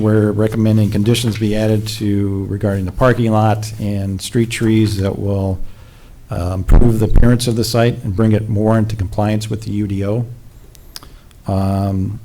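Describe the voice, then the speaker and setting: A man on the city staff walks a zoning board through his report in a low, level voice with a dark, mellow tone. He sounds measured and procedural, pausing on small fillers as he goes. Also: level tone. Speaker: city planning staff presenter. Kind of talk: reading a staff report at a public meeting. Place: city council chamber.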